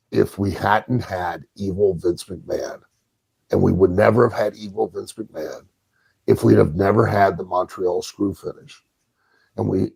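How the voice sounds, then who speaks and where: A man with a gravelly, husky voice giving opinionated analysis in a podcast conversation, deliberate and emphatic, repeating key phrases. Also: fairly low pitch, darkish tone, no room sound, poor audio. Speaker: podcast panelist from the wrestling business.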